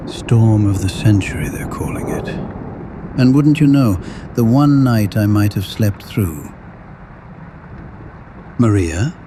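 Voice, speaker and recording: An actor playing a kindly, patient old priest in an audio drama, speaking gently and deliberately like a father to his flock. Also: fairly low pitch, lively intonation. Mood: kindly, fatherly. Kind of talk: full-cast audio drama acting. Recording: roomy echo, faint background noise.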